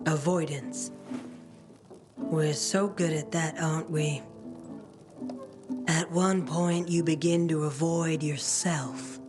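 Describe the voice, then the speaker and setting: Slow low-voiced seer lady, hushed and spooky, music behind. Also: steady tone. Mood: ominous. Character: cryptic prophetic woman seer. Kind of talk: video game cutscene.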